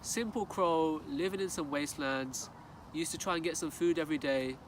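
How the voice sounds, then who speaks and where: A man telling a moral story to viewers in a measured, earnest, rhetorical manner. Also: fairly high pitch. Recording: distant mic, loud outdoor traffic noise.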